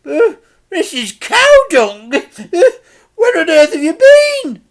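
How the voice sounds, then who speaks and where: Very shrill, fussy auntie. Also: male voice, huge pitch swings, slow pace, full character voice. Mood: appalled. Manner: exaggerated, fussy, questioning. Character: posh fussy auntie babysitter, suspicious and shrill.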